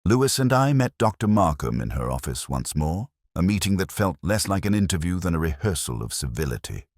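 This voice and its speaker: Very deep voiced brooding inspector a guy narrating, lots of rise and fall, dry close sound. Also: very animated, darkish tone, no room sound. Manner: measured, brooding, literary. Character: reflective male British police inspector narrating. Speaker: detective narrator. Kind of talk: scripted first-person radio-drama narration.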